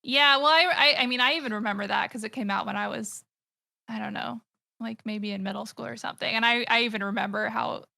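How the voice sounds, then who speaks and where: A bright, crisp American woman in her thirties talks as a podcast guest, her voice slightly higher than most and carrying a gravelly fry. She rambles with enthusiasm, circling her points with fillers and quick restarts. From United States. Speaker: podcast guest, comic artist and streamer. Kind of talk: casual podcast chat.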